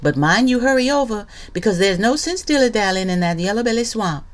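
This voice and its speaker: A brisk country mother, as a woman reads her lines, rattling off instructions quickly in a raised voice. Her pitch jumps around with lively emphasis. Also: lightly acted. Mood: instructing.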